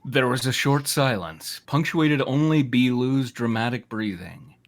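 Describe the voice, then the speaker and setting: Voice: mid-range voice, expressive, a little slow. A person reading a story aloud to friends in a mock-dramatic way, breaking off into amused asides. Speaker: narrator reading fan fiction aloud. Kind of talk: comedic group read-aloud, often breaking into laughter.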